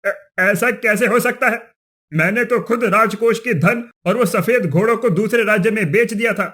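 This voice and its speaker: Cartoon villain, male actor as scheming village headman: very high voice, flustered, stammering, pleading. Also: normal speed, a bit bright. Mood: panicked scheming. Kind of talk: Hindi cartoon moral-story dialogue.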